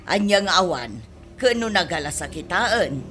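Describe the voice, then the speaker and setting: A woman's voice: fairly low pitch, big pitch swings, moderate pace, noisy background. A woman with an Ilocano accent playing a gossipy, mocking village mother in a radio comedy drama.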